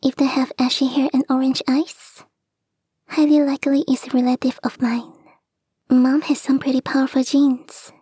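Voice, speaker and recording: A woman voicing a playful, devoted kitsune fox girl in a soft, intimate, whispery ASMR roleplay, teasing and breathy, close to the mic. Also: high-pitched, flat delivery, moderate pace, no echo. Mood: fond, explaining. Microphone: close microphone, dry.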